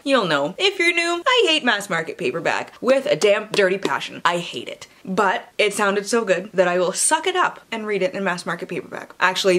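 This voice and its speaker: A woman's voice: fairly low pitch, a bit bright. A woman in her twenties hosting a casual book vlog, talking in a playful, self-deprecating way. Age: 20s. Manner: playful, self-deprecating, theatrical when reading aloud. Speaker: book vlogger and host. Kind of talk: casual book haul chat with dramatic readings. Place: small indoor room lined with bookshelves.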